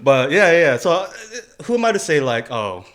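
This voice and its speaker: A man's voice: a little high, big pitch swings, moderate pace, a bit bright. A man co-hosting a podcast, chatting casually in a rambling, slangy, informal way. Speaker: podcast co-host. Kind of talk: casual chat.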